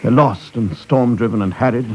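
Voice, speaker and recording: An actor playing a gentle, reclusive artist in a 1950s radio play, speaking haltingly and earnestly. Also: male voice, mid-range voice, slight background noise, natural voice. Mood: earnest resolve. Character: gentle, reclusive crippled artist setting off to war. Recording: dull vintage 1950s radio recording.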